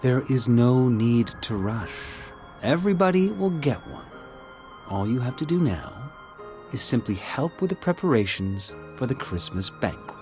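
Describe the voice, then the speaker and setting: An actor playing an older man in a video game, a paternal leader addressing children in measured, formal speeches. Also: medium pitch, big pitch swings, darkish tone, soft background music. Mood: calm, reassuring.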